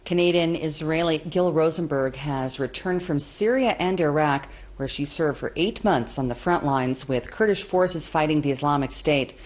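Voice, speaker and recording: A woman news anchor reading scripted reports in a measured, formal way. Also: low-pitched, darkish tone.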